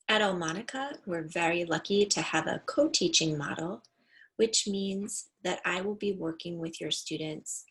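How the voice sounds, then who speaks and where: A woman's voice: lowish voice, a bit bright, rough recording. A middle-aged woman teacher giving a prepared introduction to families, explaining things in an orderly way. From California. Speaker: English language development teacher.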